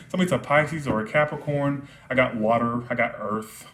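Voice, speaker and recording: A man at middle pitch giving a live tarot reading, repeating himself and asking "all right?" as he goes. His voice is starting to wear out from long talking. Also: fairly bright tone, slight background noise. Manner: repetitive, checks in with frequent "all right?". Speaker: tarot reader.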